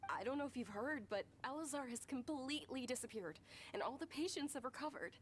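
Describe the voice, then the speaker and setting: An actress playing a kind, refined young noblewoman in a video game, with a polite, gentle manner. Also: sits high, animated, a little fast, slight background noise. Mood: happy.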